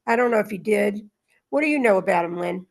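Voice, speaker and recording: A woman leads a spiritual reading and discussion group. Her voice sits at a middle pitch with a noticeable gravelly fry, and she speaks as an informal host. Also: expressive, fast talker.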